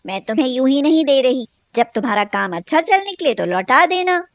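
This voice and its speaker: A woman voicing a rich, confident, generous friend in a cartoon story, speaking emphatically and briskly. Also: sits high, expressive, no echo. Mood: firm, encouraging.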